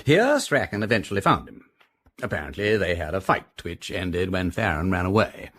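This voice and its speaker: An actor playing an articulate British gentleman detective in a radio drama, fluently and precisely reporting his findings. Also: low voice, very animated, moderate pace, full character voice. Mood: matter-of-fact.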